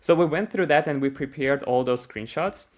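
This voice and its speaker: A man hosting an online design panel, upbeat and quick to praise each speaker. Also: a little high. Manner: upbeat, repeats short praise. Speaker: host.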